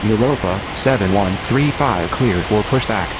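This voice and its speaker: Guy with lowish voice doing atc calls, super noisy whooshing behind. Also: measured pace. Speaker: air traffic controller and pilot radio voice in a simulation game. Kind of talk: clipped scripted aviation radio phraseology.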